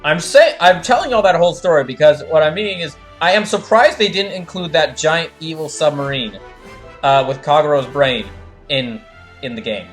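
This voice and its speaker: A man with a fairly high-pitched voice talks as a game streamer. He explains lore in a casual, rambling and enthusiastic way. Soft music plays behind his voice. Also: animated, moderate pace. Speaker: streamer doing live fan dubs of a video game. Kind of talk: casual livestream commentary.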